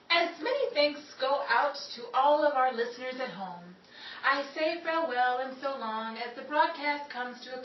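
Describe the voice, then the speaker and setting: A woman's voice: a little high, muffled recording, natural voice. A woman playing a chatty, polished 1930s radio broadcaster with a theatrical, presentational delivery. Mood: formal, bright. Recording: echoey room, distant mic, noisy background.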